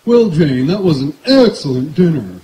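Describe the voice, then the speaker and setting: A man's voice: fairly high pitch, big pitch swings, normal speed, mellow. A man playing a polite, genteel, self-satisfied young heir in an old-time radio comedy, performed live outdoors. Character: polite, stuffy, well-bred young male heir. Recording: echoey outdoor stage, faint noise.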